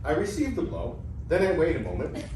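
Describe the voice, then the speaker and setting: A man's voice: on the high side, wildly swooping pitch, brisk. A man playing a woeful, bumbling old debtor turned pupil in a staged reading of an ancient comedy, lamenting theatrically. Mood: stubborn, questioning. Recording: echoey room, distant mic, clear noise.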